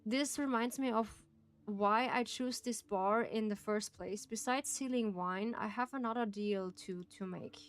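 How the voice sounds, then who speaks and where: A woman's voice: medium pitch, unhurried. A woman with a German accent, a native German speaker, streaming and reading a game aloud in careful phrasing with frequent laughs.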